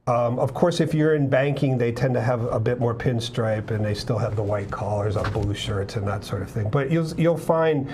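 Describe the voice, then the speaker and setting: A man speaking as an interview guest about business abroad, measured, with many "um"s and "you know"s. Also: mid-range voice, background noise. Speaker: guest with business experience in Japan. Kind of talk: conversational interview.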